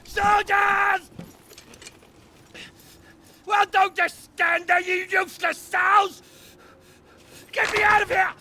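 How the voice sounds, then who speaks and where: An actor playing a spoiled, tyrannical young Roman general, imperious and abusive, in a video game cutscene. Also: male voice, level tone, takes it slowly, long pauses, background noise, full character voice. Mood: furious, commanding. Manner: imperious, shrill, abusive barking.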